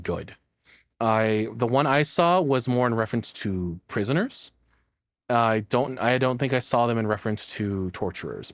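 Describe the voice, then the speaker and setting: A man's voice: on the low side, no room sound. A man giving casual, joking reaction commentary, rambling along and swearing freely. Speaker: reactor, streamer.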